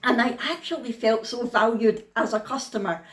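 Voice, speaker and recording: A woman's voice: fairly high pitch, normal speed, fairly bright tone, echoey room. A woman with a thick Scottish accent, chatting frankly and down to earth in a casual vlog. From Scotland. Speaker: vlogger.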